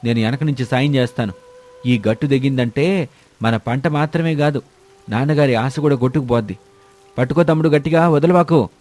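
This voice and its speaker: A man's voice: medium pitch, fairly quick, darkish tone, soft background music, lightly acted. A man playing a protective, earnest elder brother from a village family in a moral story. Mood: urgent, reassuring. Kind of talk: moral story character dialogue.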